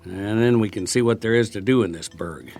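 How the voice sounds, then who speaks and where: An actor playing a mouthy, eager-to-please American soldier in a radio drama, talking in a folksy, stammering way. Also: male voice, on the low side, brisk, gravelly, darkish tone. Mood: chatty, reassuring. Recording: music under the voice.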